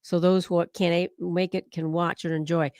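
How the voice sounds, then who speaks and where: A woman's voice: fairly low pitch, little pitch movement, a little mellow, clean tone, no echo. A woman co-hosting a casual live stream, adding short remarks and corrections. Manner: brief interjections and quick corrections.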